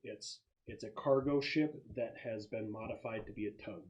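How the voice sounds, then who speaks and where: A man's voice: fairly low pitch, moderate pace, darkish tone, muffled recording. A man narrating a tabletop roleplaying game as game master, explaining things in a casual, improvised way.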